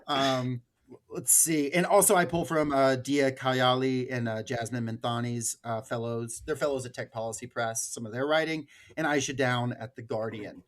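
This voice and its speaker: Casual mid-pitched man hosting a podcast. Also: measured pace. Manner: slangy, informal, swearing. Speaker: podcast host. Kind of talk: casual political podcast talk with anecdotes.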